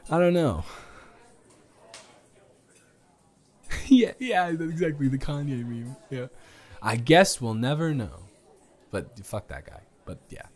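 A man's voice: mid-range voice, lightly acted. A young man playing a shy, smitten date in an improvised romantic audio roleplay, hesitant and stammering, both teasing and earnest. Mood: tender, playful.

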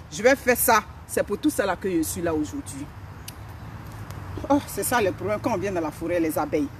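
A woman's voice: on the high side, slight background noise. A middle-aged woman from Africa, hosting a motivational live stream outdoors in a forest, speaking emphatically and repeating her phrases. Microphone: phone camera at a few steps.

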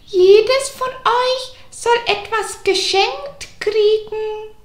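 A woman voicing a sly storybook wolf who fakes a fine, sweet mother's voice in drawn-out, coaxing sing-song. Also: very high voice, echoey room, pitched above the performer's own voice, heavily acted.

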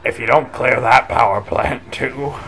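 A man's voice: medium pitch, measured pace, vocal fry, a bit bright, faint music behind, poor audio. A man voicing a pompous, mock-serious robot commander in a parody of game mission orders. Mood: mock-grave.

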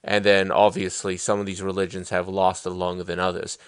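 A man in his twenties from Uzbekistan, co-hosting a casual podcast and explaining at length with many pauses and restarts. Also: low-pitched, completely flat delivery, smooth, dry recording, clean studio sound. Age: 20s. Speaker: podcast co-host. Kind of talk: casual conversation.

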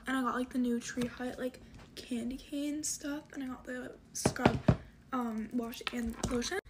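A teenage girl chatting casually while doing her makeup, talking in a soft half-whisper and filling her sentences with "like", recorded on a phone at arm's length in her bedroom. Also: high-pitched, fairly even intonation, measured pace, very noisy. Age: teens. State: losing her voice from coughing. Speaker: vlogger. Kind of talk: casual get-ready-with-me chat. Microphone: phone camera at arm's length.

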